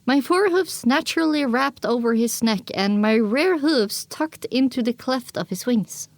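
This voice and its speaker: A woman's voice: on the high side, lively intonation, moderate pace, dry recording. A woman reading scripted first-person story narration for an audio drama.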